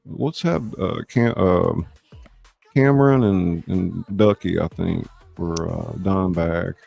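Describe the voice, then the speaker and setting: A man with a low, creaky voice hosts a live online show. He talks casually and haltingly as he works things out aloud, with faint music behind him. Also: mellow. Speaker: host of a live online script-reading show. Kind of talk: casual organizing chat. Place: live streaming call.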